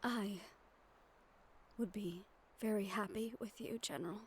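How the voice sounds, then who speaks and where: A woman voicing a gentle, wistful young noblewoman in a romantic fantasy audio roleplay, speaking softly with formal, measured diction and tender pauses. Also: medium pitch, fairly even intonation, slight background noise, natural voice. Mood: wistful, regretful.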